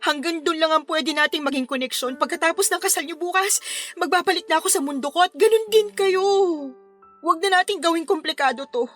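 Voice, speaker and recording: An actress playing a heartbroken young wedding planner in a radio drama, pleading tearfully but resolutely with a Filipino accent. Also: female voice, very high voice, fairly quick, a bit bright, faint music behind. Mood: sad, resolute. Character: heartbroken young female wedding planner giving up her lover.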